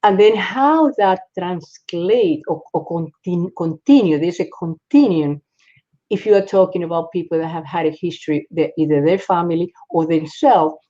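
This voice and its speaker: A woman from the Republic, speaking as a psychologist guest in a panel discussion, halting, with many fillers and restarts. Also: fairly low pitch, mellow. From Dominican Republic. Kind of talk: panel discussion on a video call. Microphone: video call. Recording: dull lo-fi call audio.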